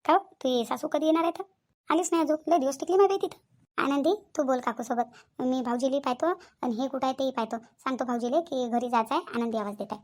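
A woman's voice: high voice, fast, darkish tone. A woman voicing a gossipy, neighbourly village woman in a cartoon, chatting casually with a Varhadi Marathi accent. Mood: chatty. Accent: Varhadi Marathi (Vidarbha). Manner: gossipy, familiar.

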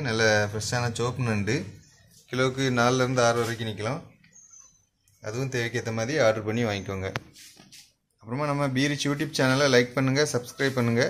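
A man with a Kanyakumari Tamil accent, a fish shop owner running through the day's catch in a brisk, repetitive vendor's listing, filmed on a handheld phone at an indoor market stall. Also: medium pitch, fairly bright tone, slight background noise. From Kanyakumari district, South India. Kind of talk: spoken product rundown for a shop channel.

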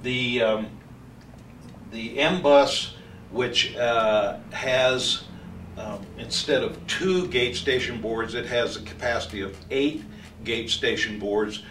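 A man in his sixties giving a technical product demonstration as a trainer, speaking haltingly with many pauses, recorded from a distance in an office meeting room. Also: medium pitch, fairly bright tone. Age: 60s. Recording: distant mic, echoey room, faint noise.

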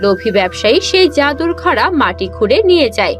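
A woman delivers scripted fairy-tale narration. Her voice is high-pitched, with soft music playing underneath. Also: very animated, fast talker.

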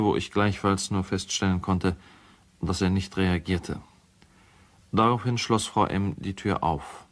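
An actor playing a sober, troubled male lawyer who gives a measured, formal account in a radio drama. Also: fairly low pitch, natural voice. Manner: measured, matter-of-fact, formal.

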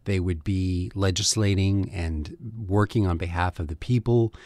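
A radio host introduces his guest in a measured, deliberate way. His voice sits a little low. The studio sound is dry and close. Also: steady tone, darkish tone, dry recording. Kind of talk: scripted radio introduction and interview.

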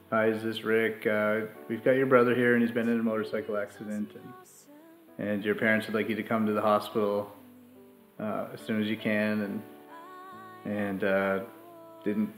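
A man in his thirties telling a personal story in a steady, flat way with fillers, in a living room. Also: lowish voice, echoey room, poor audio. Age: 30s. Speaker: grieving brother. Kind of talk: personal testimony.

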